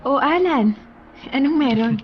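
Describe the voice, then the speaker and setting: High-voiced, reluctant office woman. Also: lively intonation, measured pace, muffled recording. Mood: reluctant, surprised. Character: busy, thrifty young female office worker, gently reluctant. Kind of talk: Tagalog radio drama acting.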